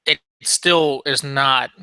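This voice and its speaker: A man's voice: medium pitch, steady tone, slow, pushed and bright, smooth, no room sound, low-quality recording. A man chatting casually as a podcast co-host, rambling along with frequent ums and restarts. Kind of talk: casual chat about firearms.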